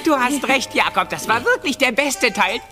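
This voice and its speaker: A woman's voice: fairly high pitch, lively intonation, normal speed, music in the background, lightly acted. A woman voicing a chubby, good-natured talking tomcat in an audio drama, earnest and theatrical. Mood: pleased.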